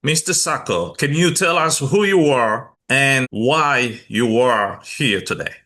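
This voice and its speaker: A man's voice: mid-range voice, expressive, takes it slowly, pushed and bright. A male show host asking interview questions in a formal way. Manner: formal, introducing the guest.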